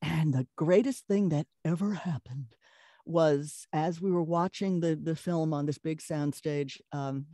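A middle-aged woman with a Brooklyn accent and a deeper voice, telling lively anecdotes in a casual interview and laughing often. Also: measured pace, no echo, clean studio sound. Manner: animated storyteller, laughs often. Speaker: voice actress, guest.

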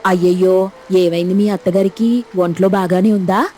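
A woman's voice: fairly low pitch, fairly even intonation, normal speed, warm tone, close to an everyday voice. Woman playing a fussing, concerned neighbour. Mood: alarmed concern. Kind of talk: dramatized moral story cartoon dialogue.

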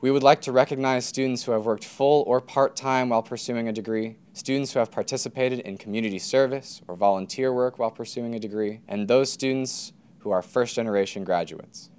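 Young American male, twenties, student leader, formal scripted address, crisp and resonant delivery. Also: medium pitch, brisk, few pauses, darkish tone. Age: 20s. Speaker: student government president giving a commencement speech. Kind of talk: prepared formal speech. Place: indoor stage at a podium.

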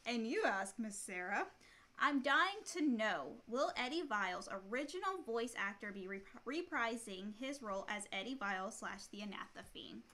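A woman's voice: on the high side, expressive, moderate pace, pushed and bright. A woman in her thirties co-hosting a casual livestream, reading questions aloud and chatting playfully at a computer mic in a small room. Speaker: co-host reading audience questions. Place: small room at home, indoors.

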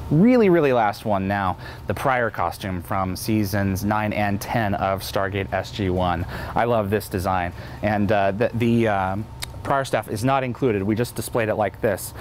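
A man's voice: on the low side, unhurried. A young man giving an enthusiastic, unscripted guided tour to camera in the manner of a keen fan, presenting for an auction company. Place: large indoor museum exhibition hall. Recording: clear background noise, indoor venue ambience.

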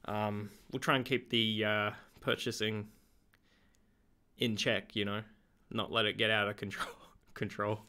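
A young man, slightly hoarse from being sick, chatting in a relaxed, rambling way with lots of ums in a video diary at home. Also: fairly low pitch, a little slow. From Australia. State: slightly hoarse, getting over an illness. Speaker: vlogger.

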